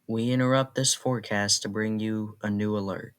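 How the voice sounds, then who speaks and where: A man's voice: lowish voice, normal speed, smooth. A synthetic-sounding man reading an automated weather radio bulletin in an impersonal, mechanical way. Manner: flat, impersonal, synthetic delivery.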